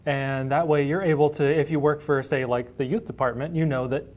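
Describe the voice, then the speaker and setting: A man's voice: fairly high pitch, steady tone, brisk, lo-fi sound. A man being interviewed casually as a library director, explaining things through everyday hypothetical examples.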